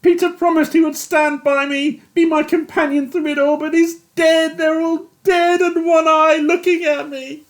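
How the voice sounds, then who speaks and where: A narrator voicing a young British army captain who is shattered and sobbing, in a high, wailing, breaking voice. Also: very animated, a little fast, hardly any pauses. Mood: distraught. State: crying.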